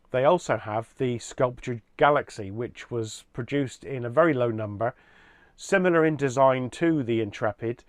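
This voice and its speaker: A man giving an explanatory product presentation in a measured, orderly way. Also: medium pitch, dry recording, studio quality.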